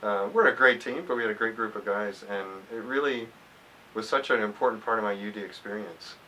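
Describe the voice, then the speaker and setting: A man in his fifties from Texas, giving thoughtful, halting answers in a reflective interview. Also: lowish voice, bright, forceful tone. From United States, grew up in Texas. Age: 50s. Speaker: alumni interviewee, former college athlete. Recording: hissy, distant microphone.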